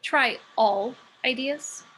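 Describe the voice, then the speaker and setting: A woman's voice: high-pitched, takes it slowly, fairly bright tone, exaggerated voice, low-quality recording. A woman playing a cocky, sardonic muse who works as a stripper, in a script table read. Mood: smug. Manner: sardonic, brash. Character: sassy, cocky female muse working as a stripper.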